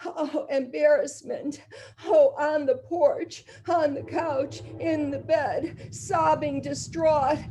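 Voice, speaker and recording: A woman's voice: sits high, fairly even intonation, slow, mellow, pitched above the performer's own voice. A woman performing a distraught, trapped female victim, pleading in a dramatic performance-art monologue. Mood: distraught, sobbing.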